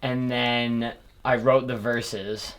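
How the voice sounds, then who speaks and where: A man's voice: mid-range voice, extremely slow. A young man in his twenties, a rapper and beat producer, chatting casually and rambling in a small home recording studio. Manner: relaxed, rambling, with fillers.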